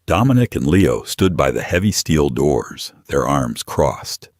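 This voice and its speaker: A man's voice: rumbling low, sing-song, a little slow, no room sound, clean studio sound. A man narrating a scripted story in a dramatic audiobook style.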